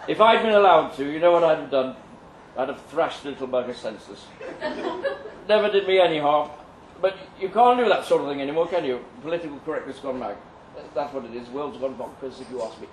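A man's voice: on the high side, brisk, long pauses, natural voice. A man voicing a bitter retired schoolteacher, a sweary curmudgeon ranting indignantly, recorded at a live reading. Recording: distant echoey live venue, background noise.

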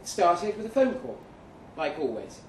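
A man reading a first-person short story aloud dramatically, with measured delivery and deliberate pauses. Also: high-pitched, huge pitch swings. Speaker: short story reader at a live event. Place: event room in a pub. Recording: roomy, distant mic with faint noise.

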